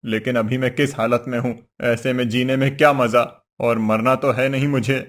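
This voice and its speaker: A man's voice: medium pitch, level tone, moderate pace, lightly acted. A man voicing a poor, simple woodcutter husband in a cartoon story, earnest, meek and fretful. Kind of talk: Hindi cartoon story voice acting.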